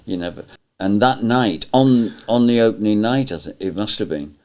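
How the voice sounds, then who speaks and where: A man's voice: fairly low pitch, moderate pace, a little mellow. An older man who writes and performs in pantomime, telling a rambling anecdote and repeating phrases for effect. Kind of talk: anecdotal storytelling.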